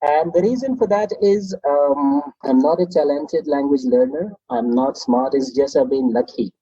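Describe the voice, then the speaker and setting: A man's voice: fairly high pitch, normal speed, mellow, slightly rough, dry recording, lo-fi sound. A man from Pakistan, speaking earnestly in an interview as an English teacher. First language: Pashto. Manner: earnest, reflective, chuckles between points. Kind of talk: interview answers.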